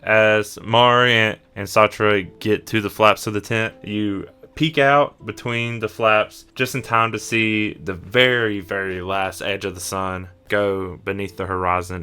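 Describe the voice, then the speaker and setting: A man's voice: fairly low pitch, unhurried, bright, forceful tone, clear, resonant tone. A man narrating an improvised tabletop roleplay as the game master.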